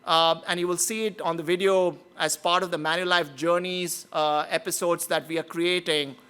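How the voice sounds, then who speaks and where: Bright, clear-voiced man hosting. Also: fairly high pitch, fairly even intonation, moderate pace. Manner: earnest, frequent "uh" fillers. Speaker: event host giving welcome remarks. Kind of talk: formal speech.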